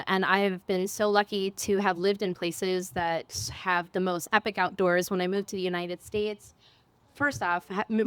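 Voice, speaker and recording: A woman's voice: medium pitch, fairly bright tone, smooth, no echo. Bubbly woman from the Philippines. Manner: bubbly, enthusiastic. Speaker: panel guest. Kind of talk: live panel talk. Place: cafe event stage.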